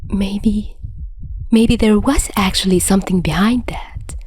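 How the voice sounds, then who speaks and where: A woman narrating fan fiction as an audio reader, in an expressive storytelling style. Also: mid-range voice, a little slow, a little hiss or hum. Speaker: podfic narrator.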